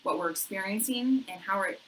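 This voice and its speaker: A woman realtor co-hosting a casual chat from her office, bubbly and chatty with a loud voice that carries, laughing often. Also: medium pitch, brisk, recorded from a distance, a little hiss or hum, poor audio. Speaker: realtor co-hosting a local real estate show.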